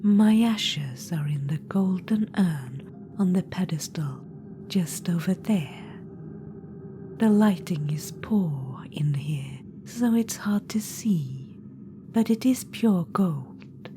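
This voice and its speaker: A woman's voice: fairly low pitch, expressive, takes it slowly, noisy background. A woman voicing an ancient undead witch-queen spirit in an ASMR roleplay, whispering in a purring, patronizing way. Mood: whispered, scheming. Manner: purring, patronizing, calls listener darling.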